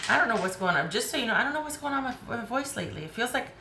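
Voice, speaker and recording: A woman with a hoarse voice giving a chatty, rambling tarot reading, often restarting her sentences. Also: a little high, lively intonation, racing, pushed and bright, reverberant, slight background noise. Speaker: tarot reader.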